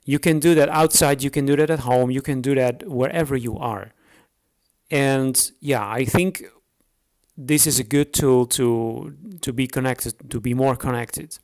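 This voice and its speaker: A man with a Dutch accent talks straight to his listeners as the host of his own podcast. His English is a second language, and he speaks off the cuff, stopping often to restart a sentence or fill a gap. His voice sits at an ordinary middle pitch for a man. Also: a little mellow. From the Netherlands. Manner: hesitant, unscripted, frequent fillers and restarts. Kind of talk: solo podcast introduction.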